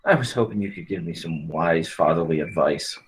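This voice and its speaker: A man's voice: sits low, animated, brisk, a little hiss or hum, poor audio. A man at a script table read playing an anxious, broke student who is reluctant about becoming a father. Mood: panicked.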